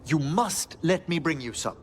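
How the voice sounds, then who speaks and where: An actor playing a courteous, scholarly gentleman necromancer in a fantasy game, with formal, genteel phrasing. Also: on the high side, expressive, a little slow, slight background noise. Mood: polite, wry.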